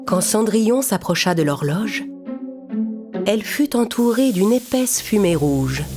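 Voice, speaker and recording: A woman's voice: medium pitch, expressive, measured pace, over music. A woman narrating a children's fairy tale in a scripted storytelling style.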